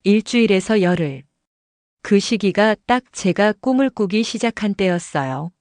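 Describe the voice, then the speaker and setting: A woman narrating an audio drama in the first person, in a calm storytelling manner, recorded close. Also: medium pitch, normal speed, dry recording.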